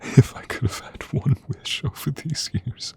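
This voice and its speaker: A man playing a tender, devoted king in a romantic ASMR audio roleplay, speaking intimately with a soft, breathy voice. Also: lightly acted. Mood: moved, loving. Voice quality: breathy, soft, often whispered. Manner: slow, intimate, emotive delivery. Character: tender, devoted young king in love with his queen.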